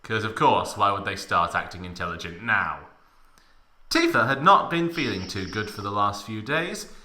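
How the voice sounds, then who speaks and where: Male comic narrator, fairly low but very animated and theatrical, mock-dramatic delivery, roomy echo. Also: normal speed. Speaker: host, story reader. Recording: echoey room.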